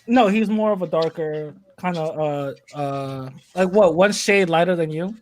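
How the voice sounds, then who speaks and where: A man's voice: on the high side, a little slow, a little mellow. A young man, chatting casually as a podcast guest, joking and rambling with many fillers. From New York City, USA. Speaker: podcast host, interviewee. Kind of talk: casual interview chat.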